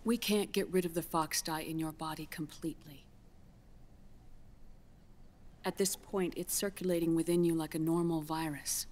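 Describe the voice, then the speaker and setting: A woman playing a grave, earnest scientist in a video game cutscene, speaking in a measured, deliberate, clinical way with breathy pauses. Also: low voice, fairly even intonation, a little mellow, natural voice. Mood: somber, urgent. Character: grave, earnest female scientist explaining grim news gently.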